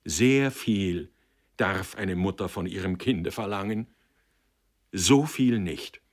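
A stubborn young son played by a male reader in a literary audiobook. The low voice is pained and grim, holding out with halting but determined defiance. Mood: resolute. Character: anguished, stubborn young son, aspiring actor.

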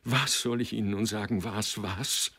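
A man's voice: low-pitched, expressive, fairly quick, creaky, exaggerated voice. A man voicing a desperate, fierce old mother who pleads and rages in wild, emphatic outcries in a dramatic audiobook. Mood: wild despair.

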